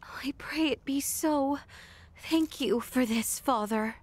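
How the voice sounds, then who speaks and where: Composed young princess, higher voice. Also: female voice, slow pace. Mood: earnest, restrained. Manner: formal, courtly diction. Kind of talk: video game cutscene acting.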